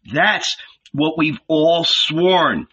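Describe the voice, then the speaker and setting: A man voicing an excited, persuasive male shepherd disciple who urgently pleads with a friend. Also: a little high, very slow, breathy edge, pitched above the performer's own voice, full character voice. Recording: dull lo-fi recording.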